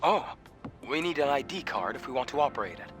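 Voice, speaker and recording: A man's voice: mid-range voice, lively intonation, a little fast, close to an everyday voice. Game role: actor as an easygoing male scout, knowledgeable and conversational, mid-range voice, music behind him. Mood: helpful, casual. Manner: casual, conversational. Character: easygoing, knowledgeable male scout companion, gently teasing and wistful. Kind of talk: video game voice acting.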